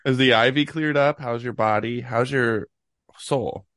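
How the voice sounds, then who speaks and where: A young man co-hosting a casual podcast chat with quick, teasing banter. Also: medium pitch, a bit bright, dry recording, clean studio sound. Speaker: podcast co-host.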